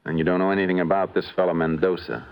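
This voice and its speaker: Deep-voiced calm lawman, old radio. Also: smooth, rough recording. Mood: measured questioning. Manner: measured, probing. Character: calm, methodical male lawman investigator. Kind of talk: 1950s radio acting. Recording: hissy vintage radio recording.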